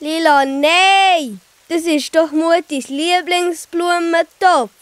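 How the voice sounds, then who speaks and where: Casting: woman as conscientious young boy, very high voice, measured delivery, children's audio play. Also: fairly even intonation. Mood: earnest, urging.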